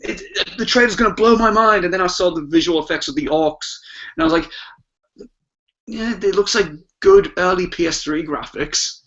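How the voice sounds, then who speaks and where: Higher-voiced British man, muffled audio. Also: normal speed, fairly bright tone. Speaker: podcast guest panelist. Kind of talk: casual opinionated chat. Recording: dull lo-fi podcast audio.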